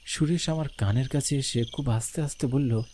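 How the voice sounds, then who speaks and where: A man's voice: mid-range voice, normal speed, warm tone. A man narrating a scripted horror story in the first person. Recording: background noise with night crickets.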